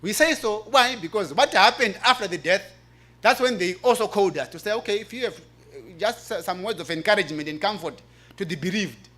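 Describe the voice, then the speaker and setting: High-pitched man explaining scripture emphatically. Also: animated, brisk, sharp tone. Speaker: presenter answering questions at a religious forum. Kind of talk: preaching-like explanatory talk.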